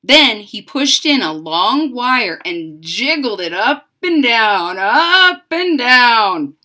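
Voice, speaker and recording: Animated middle-aged woman reading to children. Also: a little high. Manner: dramatic, deliberate, explains things simply to young listeners. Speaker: storyteller reading a picture book aloud to children. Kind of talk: expressive children's read-aloud with explanatory asides. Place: indoors, small home room.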